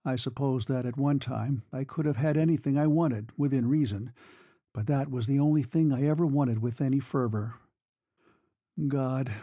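Casting: male reader as young soldier, world-weary sentimental lover, brooding delivery, gravelly edge, dry close sound, audiobook. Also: on the high side, warm tone, no room sound.

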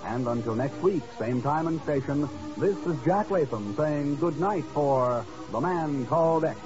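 A man's voice: on the high side, expressive, a little slow, highs cut off, over music. A man announcing from a script on 1950s network radio, heard on an old recording.

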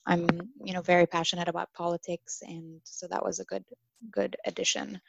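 A woman in her twenties from Syria who grew up in Dubai, a former international student talking casually as a podcast guest, lively and full of fillers. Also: on the low side, fairly quick, vocal fry, dry recording, lo-fi sound. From Syria, raised in Dubai. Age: 20s. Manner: animated, chatty, with many fillers and laughs.

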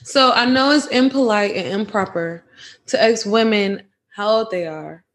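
A woman's voice: medium pitch, normal speed, a bit bright. A woman, hosting a podcast interview in a chatty, laughing way. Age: 20s. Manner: laughs often, chatty, uses fillers. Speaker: podcast host. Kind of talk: casual interview chat.

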